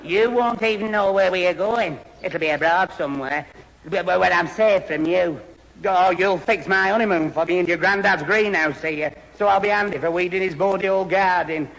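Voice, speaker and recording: A man's voice: sits high, normal speed, vocal fry, a bit bright. An actor playing a gormless, put-upon young man who stammers and splutters in exasperation in an old radio sitcom. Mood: flustered. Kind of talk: 1970s radio sitcom acting. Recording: reverberant old radio recording, faint noise.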